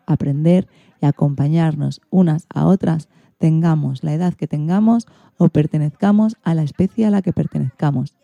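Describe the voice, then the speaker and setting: A woman's voice: on the low side, gravelly, warm tone, no room sound. A woman with an accent from Spain, hosting a radio programme with scripted presenting. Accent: Spanish (Spain). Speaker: radio host.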